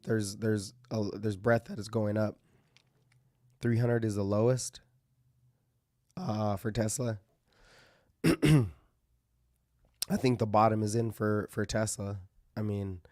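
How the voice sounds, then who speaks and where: Slightly hoarse man thinking aloud. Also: fairly low pitch, darkish tone, dry recording, studio quality. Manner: loose, thinking aloud with many fillers and restarts. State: losing his voice, clearing his throat. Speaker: market commentary host. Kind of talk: casual live market commentary.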